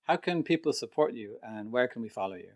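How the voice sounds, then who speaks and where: A male interviewer asks two guests polite, straightforward questions. His voice sits at a middle pitch, and his delivery is plain and steady. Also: fairly quick.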